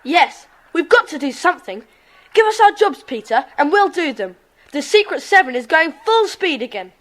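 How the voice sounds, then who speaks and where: An eager, easily indignant child in a children's audio drama. The voice is very high and bounces around emphatically. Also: female voice, sing-song, normal speed, fairly bright tone.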